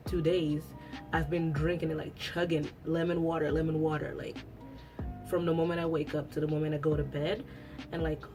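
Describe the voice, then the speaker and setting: A young woman whose first language is Italian, chatting casually to camera at home, rambling with lots of restarts. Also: low voice. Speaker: beauty vlogger. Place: at home after a shower.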